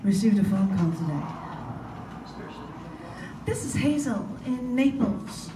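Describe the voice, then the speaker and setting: A woman's voice: mid-range voice, lively intonation, slow. Woman reading aloud in an echoey, noisy room. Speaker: writer reading own prose and poems. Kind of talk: live literary reading of prose and poetry. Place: large indoor bookstore, live reading. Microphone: camera mic at a distance. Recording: echoey, noisy bookstore, distant camera mic.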